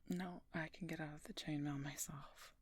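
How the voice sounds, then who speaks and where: A woman voicing a gruff, teasing female werewolf knight in an intimate audio roleplay, speaking in a breathy, husky whisper with dry, slow delivery close to the microphone. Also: steady tone, darkish tone, no room sound. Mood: wry, teasing. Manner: slow, dry, teasing, addresses listener as Highness.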